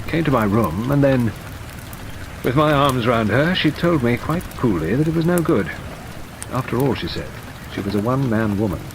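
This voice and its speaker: A man's voice: lowish voice, background noise. A man reads as a proud, resentful middle-aged Englishman with a bitter edge, his voice rising and falling widely. Rain patters steadily behind him in this audiobook. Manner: resentful, intense confession.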